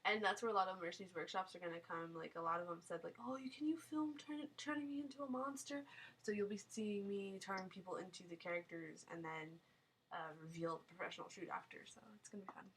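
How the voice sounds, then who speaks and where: A woman's voice: mid-range voice, fairly even intonation, a little fast, a bit bright, breathy edge, poor audio. Nasal young woman chatting on a live stream. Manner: chatty, rambling, laughs often. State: nasal from a sinus cold. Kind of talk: casual live Q&A chat. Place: home, small town.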